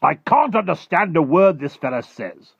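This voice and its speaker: Trigger-happy storybook general, male narrator acting: high, animated, loud military bluster, easily outraged. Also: fairly quick, breathy edge, dry recording, lo-fi sound, exaggerated voice. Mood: outraged, snappish.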